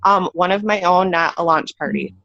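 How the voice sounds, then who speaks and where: A woman's voice: lowish voice, moderate pace, a bit bright, no room sound. A woman chatting on a video call from an odd corner of her home, upbeat, with lots of fillers and laughs. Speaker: team leader on a call. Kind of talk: casual video call chat. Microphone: computer mic on a video call. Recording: dull, lo-fi call audio.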